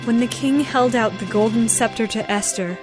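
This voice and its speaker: A woman giving a formal, measured, scripted narration of a dramatized scripture story. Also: a little high. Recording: music behind the voice.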